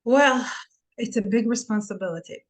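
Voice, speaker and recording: A woman's voice: a little high, normal speed. A middle-aged woman from Israel with an Israeli accent, chatting candidly and casually as an interview guest. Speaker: podcast guest, nurse practitioner.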